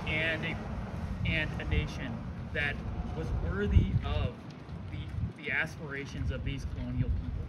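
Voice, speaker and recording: Halting man speaking outdoors. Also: a little high, far from the mic, very noisy. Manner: halting, many restarts. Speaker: select board member. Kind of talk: public speech at an outdoor commemoration.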